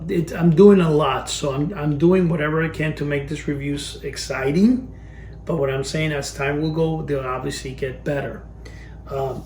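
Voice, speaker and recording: Gravelly Cuban-born man in an echoey room. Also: fairly high pitch, a little mellow, distant mic, a little hiss or hum. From Cuba. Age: 50s. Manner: rambling, restarts phrases, chuckles at his memories. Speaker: collector reviewing vintage items. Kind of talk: casual rambling review. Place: cluttered storage room.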